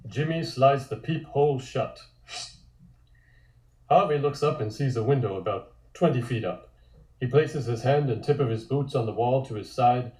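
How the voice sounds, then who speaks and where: Mellow male narrator in a roomy space. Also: mid-range voice, moderate pace. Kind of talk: scripted narration of a comic table read. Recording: roomy, echoey sound.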